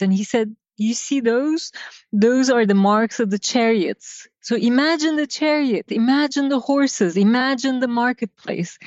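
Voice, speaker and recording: A middle-aged woman from Turkey, a designer, telling stories from her childhood in an interview, unhurried and reflective with many pauses. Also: mid-range voice, no room sound. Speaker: guest designer. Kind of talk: casual interview storytelling. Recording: dull lo-fi sound.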